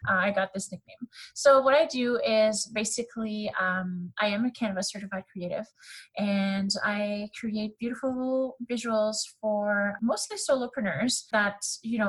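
Husky French-accented woman in her thirties. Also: medium pitch, low-quality recording. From Canada. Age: 30s. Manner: chatty, many fillers, laughs easily. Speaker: podcast guest, graphic designer. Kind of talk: casual interview chat.

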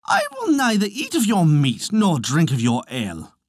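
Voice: mid-range voice, wildly swooping pitch, normal speed, a little mellow, no echo, studio quality, pitched above the performer's own voice. A storyteller voicing a tiny, proud male fairy poet with a declamatory, archaic and haughty delivery. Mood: haughty refusal.

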